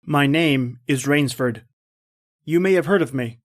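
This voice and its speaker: A man's voice: medium pitch, measured pace, natural voice. A man acting a confident, dismissive big-game hunter who narrates his ordeal in an old-time radio drama. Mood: self-assured.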